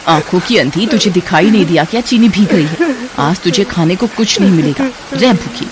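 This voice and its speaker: A woman voicing a cruel, scolding aunt who orders a child around in a sharp, commanding way, in a storybook tale. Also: lowish voice, lively intonation, moderate pace, a crowd in the background, lightly acted. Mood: angry, scolding.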